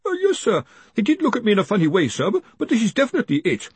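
A man's voice: high-pitched, wildly swooping pitch, racing, slightly rough, no room sound, voice pushed up, full character voice. A man voicing a timid, panicky young wizard in an audiobook, hesitant, apologetic and stammering to his superior. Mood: nervous protest. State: panting.

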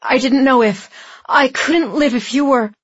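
Lady playing a scared devoted guy, urgent n choked, husky, audiobook, bit muffled. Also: sits high, a little slow, no echo, pitched above the performer's own voice. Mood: desperate. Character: desperate, devoted man, frightened for his loved one.

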